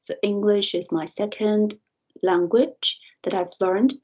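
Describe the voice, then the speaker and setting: A woman's voice: medium pitch, fairly even intonation, slow pace, darkish tone, highs cut off. A woman whose first language is Mandarin, a lecturer answering interview questions thoughtfully, with frequent light laughter and self-corrections. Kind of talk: reflective podcast interview answer.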